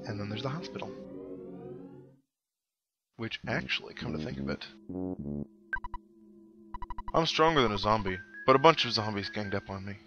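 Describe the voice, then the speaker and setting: A man with a fairly low voice hosts a casual let's-play over prominent synth game music. His delivery is wry and sarcastic as he plays and reads game text aloud, and the recording is slightly dull and lo-fi. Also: animated, normal speed, long pauses. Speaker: gaming show host, player. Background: synthesized video game music.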